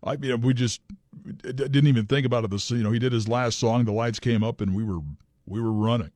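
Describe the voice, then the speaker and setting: A male radio co-host with an average-pitched voice speaks close and dry on the microphone. His banter is casual and matter-of-fact, with a teasing edge. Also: fairly even intonation, a little fast, darkish tone, no echo.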